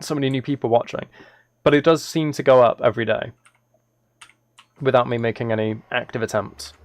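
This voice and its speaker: Male game streamer, gravelly creak, mid-pitched, casual and thinking aloud. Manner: thinking aloud, self-correcting. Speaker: live streamer. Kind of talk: casual gaming livestream commentary, chatting with viewers.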